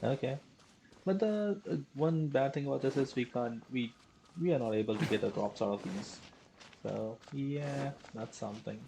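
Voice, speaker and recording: A woman's voice: extremely low pitch, moderate pace, mellow, slight background noise, rough recording. A woman streaming a game live, chatting and thinking aloud with fillers as she plays. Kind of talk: live gameplay commentary.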